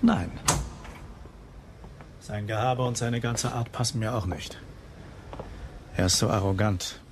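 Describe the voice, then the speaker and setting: An actor playing a weary, matter-of-fact head lighthouse keeper in a German radio crime drama. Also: male voice, on the low side, slow, slight background noise. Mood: weary, irritated.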